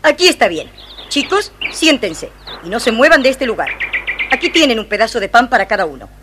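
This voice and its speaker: A cold fairy-tale stepmother with a fairly high voice. She bosses children around in curt orders, on an old storybook recording with faint noise. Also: big pitch swings, fairly quick. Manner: bossy, curt commands. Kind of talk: dramatized children's audio storybook acting. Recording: noisy old vinyl-era storybook recording.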